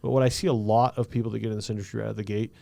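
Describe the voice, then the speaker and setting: A man's voice: on the low side, flat delivery, racing, gravelly, warm tone. A man hosting a podcast in casual chat, chatty, often laughing and acting out quotes. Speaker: podcast host.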